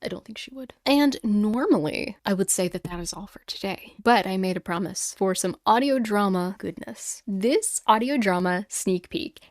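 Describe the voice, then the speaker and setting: A woman hosting a podcast, reading listener stories and reacting in an animated, informal, exclamatory way. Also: mid-range voice, moderate pace, few pauses, dry recording, studio quality. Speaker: podcast host.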